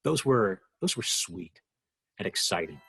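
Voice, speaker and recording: A man recalling his work as a producer in an interview, earnest and halting as he searches for words. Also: low-pitched, big pitch swings, gravelly, a bit bright, slightly rough, no echo. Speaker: interviewee, radio drama executive producer. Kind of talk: reflective interview recollection.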